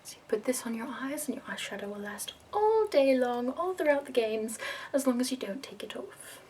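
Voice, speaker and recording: A woman in her twenties voicing a prim, fussy, upbeat woman makeup escort from the capital, in a soft whispered ASMR roleplay. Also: a little high, animated, moderate pace, a little hiss or hum, natural voice. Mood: prim, soothing.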